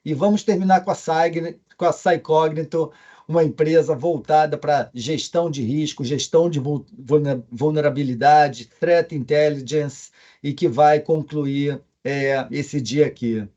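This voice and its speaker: A man with a marked Carioca accent gives event remarks from abroad over a video call. His voice is mellow, and he pauses often on fillers. The audio is dull and lo-fi. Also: a little high, steady tone. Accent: Carioca Portuguese. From Brazil. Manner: hesitant, with frequent fillers. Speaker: company co-founder speaking remotely. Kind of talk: presentation remarks.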